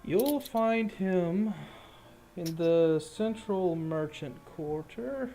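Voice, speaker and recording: Fantasy town official, acted by a man: measured, pondering, slow delivery, slightly high male pitch. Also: animated, dark tone, faint music behind, exaggerated voice. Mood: helpful, measured. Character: unhurried, hesitant male town trade official.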